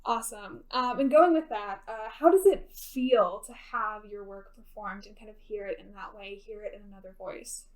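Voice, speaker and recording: A woman's voice: medium pitch, normal speed, hardly any pauses. A woman hosting and asking questions in a conversational interview. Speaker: host interviewing a poet.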